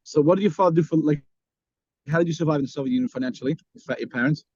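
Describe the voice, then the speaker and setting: A man interviewing a guest, firing off quick, clipped questions. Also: on the high side, a little mellow, no room sound, lo-fi sound.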